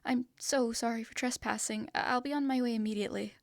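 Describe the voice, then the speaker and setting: A woman's voice: a little high, fairly even intonation, quick pace, vocal fry, no room sound, clean studio sound. A woman voicing a timid, apologetic young girl who stammers nervously. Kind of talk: fantasy audio roleplay.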